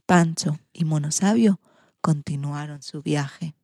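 A woman storyteller of narrates for children. Her voice is husky and dark, fairly low, recorded close and dry. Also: slow, dry recording. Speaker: storyteller and presenter.

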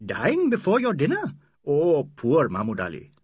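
A man voicing an excitable, playful young male doctor, loud and quick in an audiobook reading. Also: breathy edge, no echo, clean studio sound, full character voice. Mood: eager. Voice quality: loud, high and animated.

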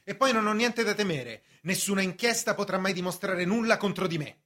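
An actor playing a stubborn, indignant young official accused in a radio drama, earnest and strained. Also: male voice, high voice, lively intonation, brisk, pushed and bright, heavily acted. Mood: defiant. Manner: defiant, earnest. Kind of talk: radio stage drama acting.

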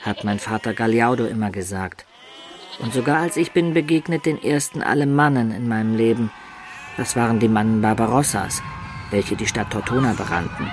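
A man's voice: medium pitch, normal speed, background noise, close to an everyday voice. A man playing a naive, earthy young peasant telling his story in mock-archaic dialect for a radio drama. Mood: eager, confiding.

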